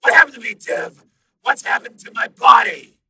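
Male narrator doing a trapped cop mind in a computer, storybook: synthetic, high and raspy, interrogating, noisy track. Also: moderate pace, sharp tone, exaggerated voice. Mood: accusing. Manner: insistent, interrogating questions.

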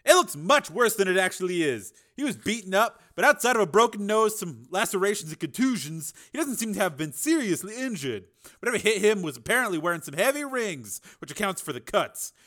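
A man voicing a calm male doctor who gives reassuring, matter-of-fact medical reports in an audiobook drama. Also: sits high, fairly quick, voice pushed up, heavily acted. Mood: reassuring, businesslike.

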